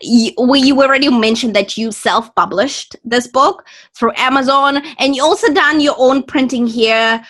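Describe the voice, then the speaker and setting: A woman's voice: high voice, normal speed, few pauses, fairly bright tone. Chatty woman interviewer, second-language English. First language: not English. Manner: encouraging, frequent fillers and restarts. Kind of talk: casual interview chat.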